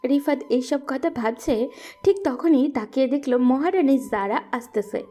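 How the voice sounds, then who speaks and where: A woman's voice: high voice, moderate pace, warm tone. A woman narrating a scripted romantic story.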